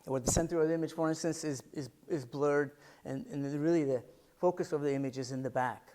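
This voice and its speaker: A man's voice: fairly high pitch, steady tone, fairly quick, mellow. A middle-aged man giving a talk about his photographs, thoughtful and searching for words, with frequent restarts and fillers. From United States. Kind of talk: artist talk presenting photographs. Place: library art center talk.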